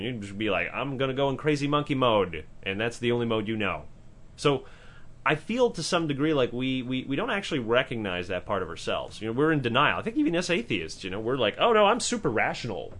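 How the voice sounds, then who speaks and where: A man with a powerful voice co-hosting a podcast in casual discussion, talking in an animated way with swearing, restarts and asides. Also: mid-range voice. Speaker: podcast co-host.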